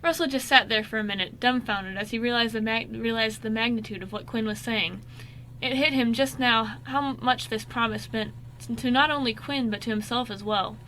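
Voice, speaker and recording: High-voiced woman narrating a radio drama. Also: normal speed, pushed and bright, poor audio. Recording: faint background noise.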